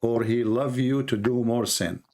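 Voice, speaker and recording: A man's voice: medium pitch, fairly even intonation, a little slow, a little mellow. Insistent man debating in non-native English. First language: Arabic. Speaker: host, religious debater. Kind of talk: argumentative live debate.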